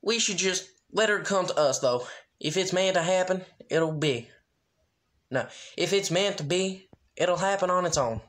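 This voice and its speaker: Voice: mid-range voice, lively intonation, normal speed, a bit bright, pitched above the performer's own voice, natural voice. A performer voicing a plain-spoken, reassuring country pony woman in a story reading.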